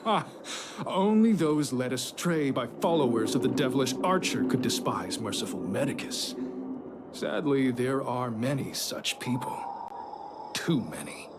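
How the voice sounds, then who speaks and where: A man's voice: medium pitch, expressive, a little slow, heavy background noise. A man playing a furtive, devout cult recruiter in a video game, speaking in a formal, reverent and persuasive way. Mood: wary, scornful. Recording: game music behind the voice.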